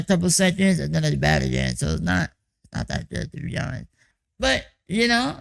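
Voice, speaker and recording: A young man with a hoarse, croaky voice that is heavily gravelly and pitched fairly high for a man. He talks in a loose, rambling way, leaning on "like" and "you know" as he checks in with his viewers on a music production course. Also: low-quality recording. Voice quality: raspy, croaky. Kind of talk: casual vlog check-in.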